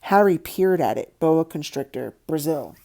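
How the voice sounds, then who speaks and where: A woman reads a storybook aloud as narrator. Her voice is soft-edged and mellow, and her pitch moves around a lot as she tells it expressively. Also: expressive, a little slow. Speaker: audiobook narrator.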